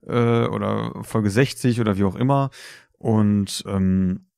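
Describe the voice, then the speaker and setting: A man co-hosting a podcast in casual chat, talking colloquially and a bit haltingly. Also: lowish voice, gravelly, darkish tone, clear, resonant tone, no room sound, clean studio sound. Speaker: podcast co-host.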